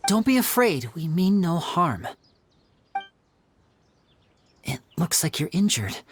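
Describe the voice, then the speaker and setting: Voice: medium pitch, sing-song, normal speed, slight background noise, natural voice. An actor voicing a courteous, composed young male magician in an anime video game, speaking politely and articulately. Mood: reassuring.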